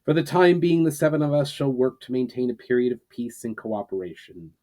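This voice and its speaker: Storybook role: man playing an earnest male leader, solemn and declamatory, addressing massed armies in measured phrases, mid pitch. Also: mellow, lightly acted. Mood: solemn resolve. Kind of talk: dramatic storybook reading.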